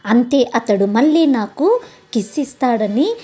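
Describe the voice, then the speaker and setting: A woman narrates a romantic tale in the first person. Her voice sits fairly high, with a very mellow, dark-edged tone. She tells it expressively, addressing her listeners directly as a storyteller. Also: lively intonation, a little slow, husky, faint music behind. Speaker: storyteller, channel host.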